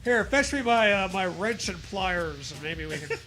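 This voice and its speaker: A man's voice: high voice, normal speed, soft background music. A man hosting a dramatic reading of a story, mixed with crude, joking commentary. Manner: profane, jokey, animated reactions.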